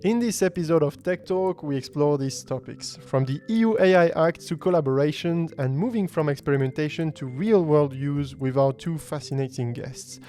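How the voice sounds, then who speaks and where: Mellow-voiced male podcast host. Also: fairly high pitch, normal speed, few pauses, faint music behind. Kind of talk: scripted intro then casual hosting.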